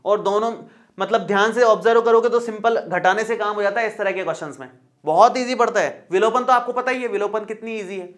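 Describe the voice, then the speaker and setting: Guy teaching math, talks it through slow and friendly, keeps saying it's easy and asking if you got it. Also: high voice, a bit bright. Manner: chatty and reassuring, repeats steps, checks in with the viewer. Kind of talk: explaining a math lesson.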